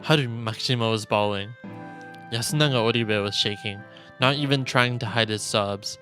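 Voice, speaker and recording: A man with a mid-pitched voice reads visual novel text aloud for a stream. He narrates dramatically and in a measured way, like a storyteller, with music softly behind him. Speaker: streamer reading a game aloud. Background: game music.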